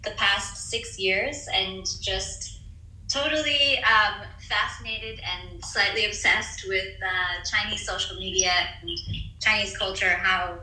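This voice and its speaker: A woman's voice: medium pitch, steady tone, takes it slowly, bright, forceful tone, roomy sound, rough recording. A woman who runs a social media agency, chatting enthusiastically and with self-mocking humor as a panel guest. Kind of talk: casual panel chat.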